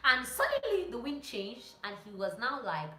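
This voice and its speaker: Bright, high-voiced woman vlogger in an echoey room. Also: normal speed. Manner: animated, repeats phrases for emphasis. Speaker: vlogger and pilot sharing training tips. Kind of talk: casual instructional vlog talk with acted-out anecdotes. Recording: roomy echo.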